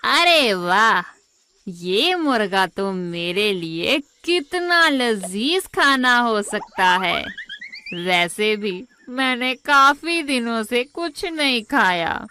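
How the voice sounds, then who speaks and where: A woman voicing a sly, hungry female fox in a children's cartoon story, wheedling and scheming with drawn-out words. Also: on the high side, sing-song, sharp tone, clear, resonant tone, full character voice. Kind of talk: children's animated moral story acting.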